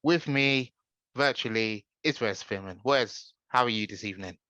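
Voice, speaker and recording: A man hosts a casual podcast in a forceful, bright voice that sounds a little tense. He moves the chat along briskly, setting up each topic. The recording is dry and dull, with a lo-fi feel. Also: medium pitch, no room sound. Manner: brisk, leads the talk and sets up each topic. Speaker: podcast host.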